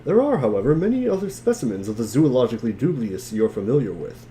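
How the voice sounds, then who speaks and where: A man's voice: mid-range voice, very animated, fast talker, mellow, slight background noise. A man giving a wry, theatrical dramatic reading of narration and dialogue, dropping into casual asides. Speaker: reader in a group dramatic reading.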